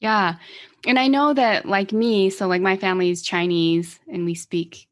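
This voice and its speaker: A woman's voice: medium pitch, steady tone, normal speed, dry recording, studio quality. A young woman hosting a casual interview, chatty with frequent "like". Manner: friendly, lots of "like" and backchannel. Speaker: interviewer, host.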